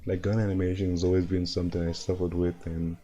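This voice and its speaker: A man streaming from home, hoarse and worn out from no sleep, chatting loosely and chuckling as he reacts to animations. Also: sits low, little pitch movement, fast talker, warm tone, a little hiss or hum. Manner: laid-back, rambling, chuckles often. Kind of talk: casual livestream chat and reactions.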